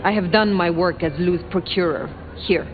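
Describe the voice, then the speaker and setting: An actress playing a cool, formal female vampire sheriff in a video game, an ancient wanderer who speaks in measured, cutting phrases. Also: lowish voice, fairly even intonation, lightly acted. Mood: haughty. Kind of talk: video game character dialogue. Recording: muffled, phone-like game audio under music.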